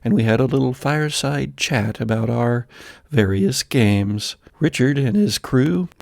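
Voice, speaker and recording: An American man narrating a tabletop horror game as game master, telling it in a dramatic, deliberate way with trailing pauses. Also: medium pitch, expressive, gravelly, darkish tone. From United States. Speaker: game master and podcast host.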